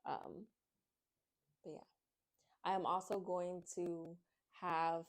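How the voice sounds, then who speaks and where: A woman hosting a children's read-aloud, speaking in an expressive, excitable, friendly way to young viewers. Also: fairly low pitch, slow, heavy background noise. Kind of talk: storybook narration and friendly chat to young viewers.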